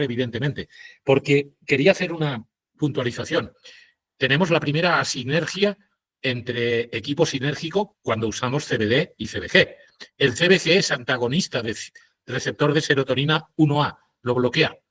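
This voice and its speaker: Husky man. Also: medium pitch, fairly bright tone. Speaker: panelist. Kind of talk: online panel discussion.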